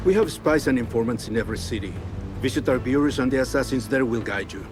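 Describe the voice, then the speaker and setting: An actor playing a dignified, encouraging and authoritative assassin mentor in a game cutscene. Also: male voice, mid-range voice, expressive, quick pace, music in the background, natural voice. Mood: instructive. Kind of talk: dramatic game cutscene acting.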